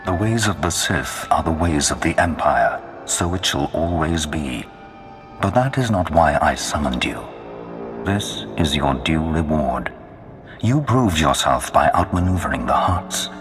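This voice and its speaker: An actor voicing a menacing Sith lord in a video game, speaking in a grandiose, commanding way. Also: male voice, rumbling low, lively intonation, unhurried, over music. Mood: ominous, commanding. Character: menacing male Sith lord of a dark empire. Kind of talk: video game villain acting. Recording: long cavernous reverb.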